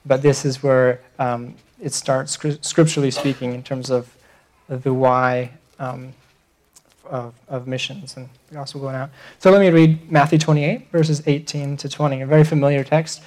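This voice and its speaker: An earnest young man gives a missions talk to a church congregation. He speaks at an ordinary pitch and often pauses with ums and restarts his sentences. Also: steady tone, a little mellow. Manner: earnest, hesitant with many fillers.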